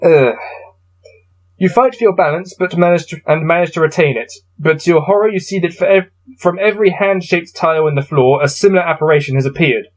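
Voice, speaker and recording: A man's voice: fairly high pitch, a little fast, rough recording. A man hosting a casual gamebook playthrough, reading passages aloud and adding wry, self-deprecating asides. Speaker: host playing through a gamebook.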